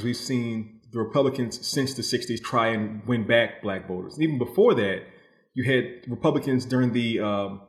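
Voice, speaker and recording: A man giving thoughtful, conversational answers as a novelist guest, explaining his thinking and voicing other people's views as he goes. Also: medium pitch. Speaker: novelist guest being interviewed. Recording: roomy, echoing voice.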